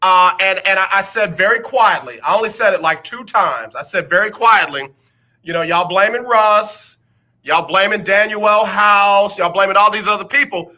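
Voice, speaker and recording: A man's voice: high-pitched, moderate pace, sharp tone. A man hosting a casual sports talk radio show, teasing listeners with rhetorical questions. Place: radio studio.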